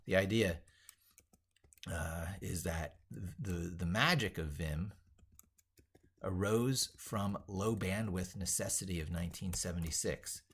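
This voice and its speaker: Male tech educator, lowish pitch, composing an opinionated monologue aloud; declarative, emphatic delivery with reworked, repeated phrases. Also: slow pace, fairly bright tone, husky. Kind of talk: drafting and reading aloud an opinionated monologue while typing.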